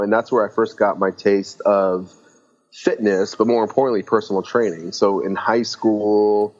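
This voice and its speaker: Man, low voice, casual podcast guest, quick bursts with restarts, muffled audio. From Lebanon, raised in California. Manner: talks in quick bursts with restarts, acts out trainers' thoughts. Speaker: guest, fitness business founder.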